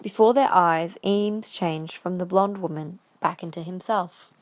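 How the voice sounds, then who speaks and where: A woman's voice: fairly low pitch, measured pace. A woman reading scripted narration for a fan-made audio drama.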